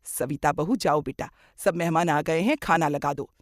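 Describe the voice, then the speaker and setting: A woman's voice: low voice, moderate pace, no room sound, clean studio sound, natural voice. A woman playing a middle-aged mother-in-law, kindly but grumbling and dramatic, in a moral cartoon story.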